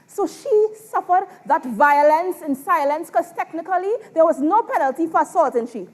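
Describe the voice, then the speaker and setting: A woman with a Trinidadian accent performing dramatic spoken word poetry on stage, emphatic and theatrical, with deliberate pauses. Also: very high-pitched, lively intonation, a little mellow. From Trinidad and Tobago.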